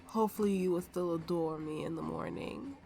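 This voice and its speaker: A woman's voice: on the low side, brisk, lightly acted. A woman voicing a drunken, playful and imperious warrior woman in an ASMR roleplay. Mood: giddy, imperious. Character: tipsy, haughty, flirtatious warrior woman with a slave. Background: soft background music.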